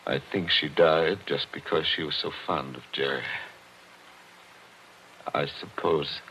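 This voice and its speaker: Deep-voiced, formal older gentleman. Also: steady tone, slight background noise, exaggerated voice. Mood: wistful. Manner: formal, precise, measured. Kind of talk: 1940s radio acting. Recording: dull vintage 1940s radio recording.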